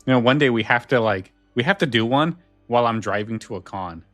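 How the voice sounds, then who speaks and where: A man's voice: mid-range voice, fairly quick, a bit bright, no echo, faint music behind. A man chatting casually as a podcast host, rambling and a little hesitant. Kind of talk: casual chat.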